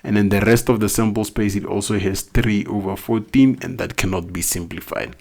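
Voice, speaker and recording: A man's voice: fairly low pitch, steady tone, a little fast, vocal fry, darkish tone. A man with a non-native English accent, teaching a recorded math lesson step by step, asking questions and answering them himself. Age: adult, not young.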